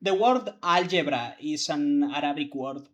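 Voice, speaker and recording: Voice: mid-range voice, measured pace, fairly bright tone. Rambling young law student, heavy fillers. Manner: rambling, hedging with many fillers. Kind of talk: casual chat among players.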